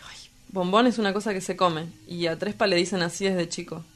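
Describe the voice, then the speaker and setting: A woman's voice: lowish voice, steady tone, a little fast, close to an everyday voice. Woman playing a crude, teasing Argentine street poet. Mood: casual teasing. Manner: blunt, vulgar, teasing. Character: crude, idle young male street poet, teasing and cynical. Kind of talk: radio drama dialogue.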